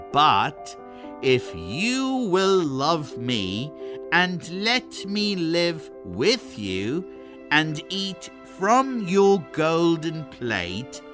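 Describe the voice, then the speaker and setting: Small talking frog, acted by a storyteller, entreating and deliberate, swinging intonation, for a fairy tale. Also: medium pitch. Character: small talking frog, earnest, bargaining suitor.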